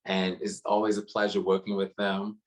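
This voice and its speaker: Low-voiced New man, relaxed guest. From New York City. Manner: relaxed, frequent "um" pauses, light laughs. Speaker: guest curator. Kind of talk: casual interview conversation. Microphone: video call webcam.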